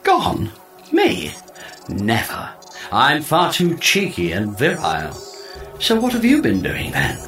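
Cocky little robot woman voicing it, slow and super swoopy, comedy audio drama, echoey. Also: low-pitched, wildly swooping pitch, a bit bright, full character voice. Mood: indignant, boastful. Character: cheeky, cocky robot pod. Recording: echoey, with music behind.

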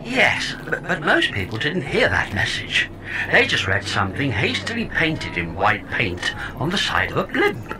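A man's voice: mid-range voice, wildly swooping pitch, a little slow, background noise. Man as a wry robot sidekick, wildly swooping voice. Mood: gleeful explaining. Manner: wry, wordy explaining with dramatic flourishes. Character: chatty, wry robot pod sidekick, smug and theatrical. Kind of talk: comedy audio drama acting.

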